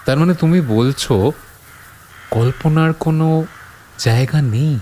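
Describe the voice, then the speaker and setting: A man's voice: on the high side, unhurried, mellow, slight background noise, pitched above the performer's own voice, natural voice. A man voicing a brooding horror writer who muses dramatically on the uncanny in an audio drama. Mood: questioning. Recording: long reverb, music underneath.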